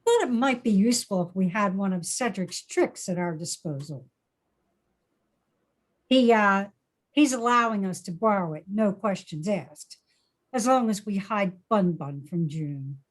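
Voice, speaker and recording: A woman's voice: medium pitch, animated, unhurried. Actress as a sheepish, hesitant woman. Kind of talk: table read acting of a screenplay.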